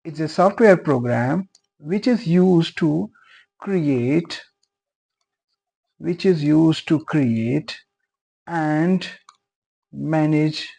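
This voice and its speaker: A young man whose first language is Urdu teaches beginners. He speaks slowly and earnestly, repeats phrases and often pauses with fillers. His voice is gravelly, husky and dark. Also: a little high. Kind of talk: explaining a tutorial.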